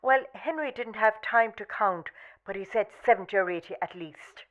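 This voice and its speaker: A woman's voice: mid-range voice, moderate pace, fairly bright tone, dull audio, close to an everyday voice. Polite, hesitant woman informer, played by an actress. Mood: nervous, secretive. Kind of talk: radio play acting.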